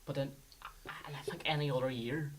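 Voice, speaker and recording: A man's voice: mid-range voice, fairly even intonation, a little fast, slight background noise. A man co-hosting a podcast, chatting casually about films. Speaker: podcast co-host. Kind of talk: casual film discussion.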